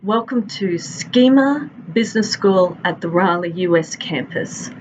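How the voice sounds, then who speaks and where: A woman's voice: mid-range voice, lively intonation, measured pace, a little hiss or hum, poor audio. A middle-aged Australian woman, a university instructor recording a friendly, conversational course introduction from her office. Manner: friendly, conversational, stretches out listed items. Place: office with a large window. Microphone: webcam at desk.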